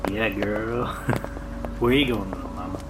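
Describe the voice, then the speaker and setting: An actor playing a menacing young street thug in an audio drama, talking in a leering, threatening way. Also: male voice, lowish voice, slow. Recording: distant, noisy, rain in background.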